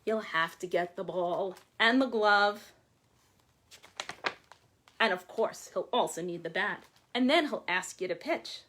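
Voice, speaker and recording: A woman in her forties, a children's librarian reading aloud to young children with expressive, deliberate phrasing. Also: mid-range voice. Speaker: children's librarian hosting storytime. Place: small room at home or office, by window. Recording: clearly noisy home video with clicks.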